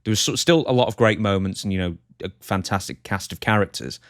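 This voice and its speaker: A man with a lowish voice co-hosts a casual podcast. His delivery is measured, agreeable and conversational. The sound is very dry, free of room echo. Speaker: podcast co-host, news reporter of the show.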